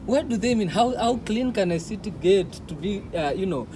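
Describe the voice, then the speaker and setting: A man from Tanzania, a guest answering interview questions hesitantly, with many pauses. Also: sits high, expressive, noisy background. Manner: hesitant, pausing often.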